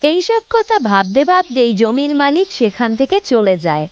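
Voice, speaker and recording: A woman's voice: high voice, big pitch swings, unhurried, lo-fi sound. A woman narrating a scripted children's story as the storyteller.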